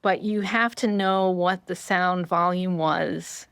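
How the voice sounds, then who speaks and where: A woman's voice: lowish voice, fairly even intonation, takes it slowly, creaky, a bit bright, smooth, dry recording. A middle-aged woman from rural Vermont, a scientist explaining how hearing works in a thoughtful, conversational way. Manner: explanatory, thoughtful, frequent affirming fillers. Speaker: neuroscientist guest.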